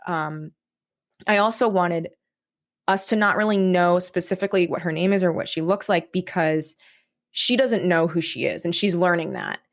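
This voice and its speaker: A woman's voice: on the low side, normal speed. A woman guest on a podcast, talking casually and reflectively about her writing, thinking aloud in informal speech. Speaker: podcast guest, audio drama writer.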